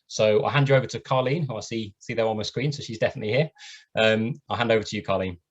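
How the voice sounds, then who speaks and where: A man's voice: fairly low pitch, fast talker, husky. A man hosting an online event over a video call, talking earnestly with many fillers. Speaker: event host. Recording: muffled lo-fi video call audio.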